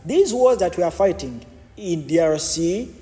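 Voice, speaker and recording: A man's voice: high voice, lively intonation, unhurried, dark tone, long reverb tail. A man, a podcast guest explaining his views at length, stumbling and repeating words as he goes. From Uganda. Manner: repetitive, many false starts and restarts. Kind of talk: explanatory interview answers.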